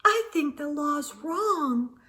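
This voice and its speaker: A timid little schoolgirl from a storybook, as a woman reader plays her. She speaks softly and hesitantly in a high voice, earnest and careful with each word. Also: animated, slow, full character voice. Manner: soft, hesitant but earnest. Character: earnest, timid second-grade girl with big ideas.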